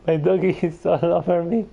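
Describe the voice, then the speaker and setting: A woman's voice: on the low side, vocal fry, husky. A woman giving casual, laid-back game commentary in murmured asides, recorded on a muffled mic. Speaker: game streamer. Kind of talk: casual let's play commentary. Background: game music.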